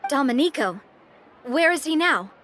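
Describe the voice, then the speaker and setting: High, lively young boss lady. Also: unhurried, slight background noise. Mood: earnest. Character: confident, gracious young female leader of a syndicate. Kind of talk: video game voice acting.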